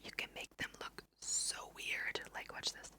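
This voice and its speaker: A woman whispering chatty ASMR game commentary, thinking aloud in a breathy, self-amused way into a close microphone. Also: unhurried. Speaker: host of a gaming let's play.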